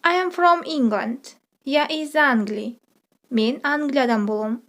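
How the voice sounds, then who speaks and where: A woman teacher presenting a scripted multilingual language lesson, repeating phrases slowly and didactically for learners. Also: high voice, a bit bright. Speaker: language teacher, channel host.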